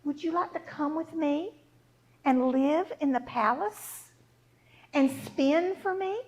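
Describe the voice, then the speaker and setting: A woman storyteller voicing a composed, commanding queen in a folk tale, measured and questioning. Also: sits high, low-quality recording.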